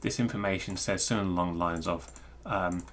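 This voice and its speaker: Low-voiced man thinking aloud, noisy recording. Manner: thinks aloud, halting and self-interrupting. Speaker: presenter explaining technical material. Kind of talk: informal screencast walkthrough with improvised explanation.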